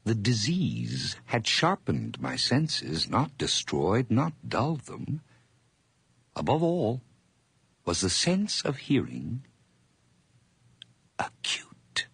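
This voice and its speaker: A low-voiced, haunted drifter tells his tale with nervous, halting intensity. His pitch swings wildly and his pace is slow and deliberate, theatrical in the style of eighties radio horror. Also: creaky, a bit bright, breathy edge, exaggerated voice. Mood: hushed, intense. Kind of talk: 1980s radio horror drama acting.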